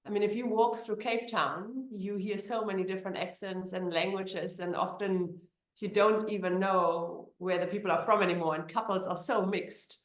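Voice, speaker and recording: A woman's voice: medium pitch, steady tone, normal speed, reverberant, low-quality recording. A woman from Germany with a German accent, an immigration attorney answering interview questions in a chatty way with many fillers and restarts.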